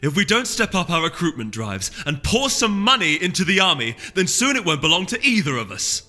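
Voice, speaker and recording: A man voicing a fiery, combative unicorn duchess in a storybook reading, forceful, impatient and scornful. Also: high voice, very animated, a little fast, soft background music, voice pushed up, full character voice. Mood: angry, scornful. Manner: forceful, argumentative.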